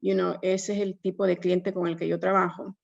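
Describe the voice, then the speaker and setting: Guatemalan woman in her fifties, loan officer guest on a webinar call; gravelly, muffled line. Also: fairly low pitch, little pitch movement, moderate pace. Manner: conversational, mixes English fillers into Spanish. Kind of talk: webinar self-introduction. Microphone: video call. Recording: dull lo-fi video call audio.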